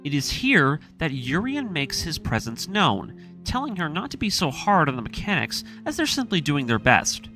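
A man's voice: fairly high pitch, wildly swooping pitch, normal speed. A man reading a scripted story recap as the narrator of a series. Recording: voice-over with music underneath. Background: music.

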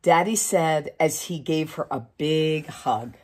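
A woman's voice: low-pitched, a little hiss or hum. A middle-aged woman reading a picture book aloud to children, expressively, with chatty asides and questions to her listeners. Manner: expressive, drawn-out phrasing with questions to young listeners. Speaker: community storytime reader. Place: small wood-panelled room indoors.

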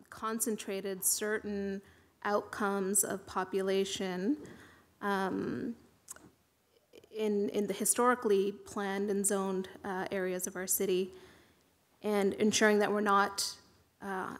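Woman on city council, monotone, voice going a bit, lots of ums. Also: medium pitch. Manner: measured, halting with fillers. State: losing her voice. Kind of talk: council meeting question. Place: council chamber.

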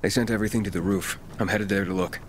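A man's voice: fairly low pitch, steady tone, fast talker, noisy background, close to an everyday voice. An actor playing a wry young male scavenger in a video game, talking casually. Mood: businesslike.

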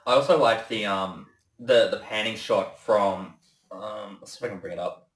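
A young man hosting a casual reaction commentary, talking haltingly and swearing now and then. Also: fairly low pitch, far from the mic. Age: young adult. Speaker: reaction video host. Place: small indoor room with shelves. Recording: echoey room, off-mic, music behind.

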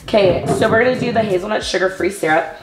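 A woman's voice: medium pitch, fast talker. Bubbly woman vlogging in an echoey kitchen. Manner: bubbly, chatty, calls viewers babes and honey. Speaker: lifestyle vlogger. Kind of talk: casual chat to camera. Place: home kitchen, indoors. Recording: echoey kitchen, soft background music.

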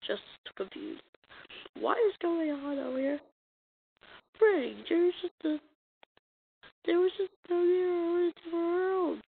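A woman reading a comic dub as an amateur voice actor, acting out the dialogue in a halting, stumbling way on a muffled, noisy recording. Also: very high-pitched, gravelly. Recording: muffled lo-fi recording with noise and clicks.

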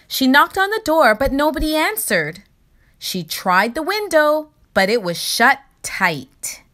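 Animated woman storyteller with a high voice. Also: slow pace. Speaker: storyteller reading a children's book aloud. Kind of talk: expressive scripted narration for children.

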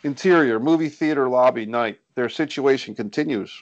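Man, medium pitch, scripted narration, screenplay stage directions, table read. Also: normal speed, highs cut off. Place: indoors on a group video call.

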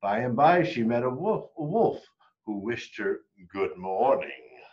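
An American man in his forties reading a children's storybook aloud with animated expression, recorded on a camera close by. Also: medium pitch, measured pace, muffled recording. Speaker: storybook reader for a school.

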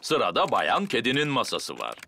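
A man's voice: mid-range voice, huge pitch swings, normal speed, creaky, pushed and bright, a little hiss or hum, full character voice. A man voicing a jolly, self-important middle-aged father pig in a children's cartoon dub. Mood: proud, cheerful.

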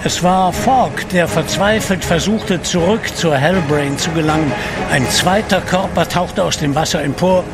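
A man narrating an adventure audio drama in a scripted, dramatic storytelling style. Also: fairly high pitch. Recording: music behind.

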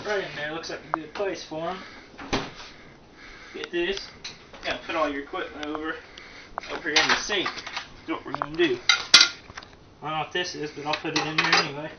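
A man with a slightly high voice rambles through a casual, jokey kitchen demonstration. His delivery is folksy and slangy. The sound is dull and lo-fi, picked up from a little distance with plenty of noise around him. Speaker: host of amateur comedic cooking show. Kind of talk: casual joking how-to demonstration. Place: home kitchen, indoors.

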